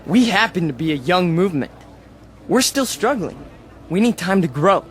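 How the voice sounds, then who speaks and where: Impassioned young rabble rouser, radio drama, big pitch swings, roomy echo w music. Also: male voice, big pitch swings, normal speed. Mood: indignant. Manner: impassioned, rhetorical. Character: fervent young demagogue leading a fringe political movement.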